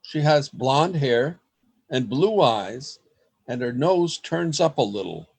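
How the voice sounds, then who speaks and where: A man's voice: medium pitch, lively intonation, creaky, darkish tone, slightly rough, heavily acted. An actor playing a sensitive, desperate man in a radio play, narrating his last journal with earnest melodrama. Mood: grim, urgent. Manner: earnest, melodramatic, deliberate delivery.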